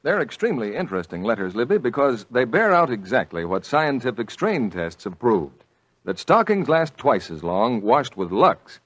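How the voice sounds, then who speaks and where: A husky American man working as a 1940s radio announcer. He speaks with lively, emphatic intonation at a medium pitch, close and dry. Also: normal speed, no room sound. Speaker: announcer and ad spokesman.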